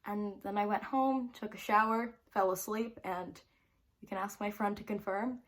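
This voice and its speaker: A woman's voice: medium pitch, fairly even intonation, moderate pace. Bubbly, rambling teenage girl. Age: teens. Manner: bubbly and rambling, full of "like" fillers; giggly, laughs often between phrases. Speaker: vlogger. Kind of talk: casual storytelling to camera.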